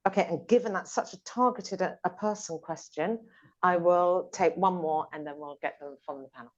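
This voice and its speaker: A woman's voice: on the low side, moderate pace. A woman chairing a panel seminar, moderating audience questions with effusive praise. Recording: slightly dull conference audio.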